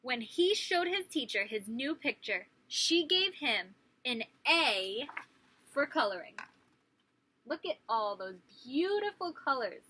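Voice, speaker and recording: Female pre-K teacher for story time: high-pitched, animated, speaking directly to little kids. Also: slow pace, breathy edge, low-quality recording. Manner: animated, questioning, addressing young children. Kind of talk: children's story time read-aloud.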